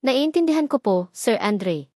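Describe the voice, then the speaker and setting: A woman's voice: sits high, expressive, a little slow, darkish tone, dry recording. Woman narrator as young housemaid, Filipino accent, humble, anxious, deferential, audio storybook, dry recording. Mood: fearful, pleading. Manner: deferential, polite.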